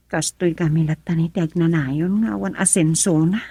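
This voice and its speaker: Poor rural mother, Ilocano accent, radio drama. Husky, apologetic, hesitant, dry studio sound. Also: fairly low pitch, fairly even intonation, normal speed, warm tone, dry recording. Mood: apologetic, weary. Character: hard-up farmer's wife and mother, apologetic and weary.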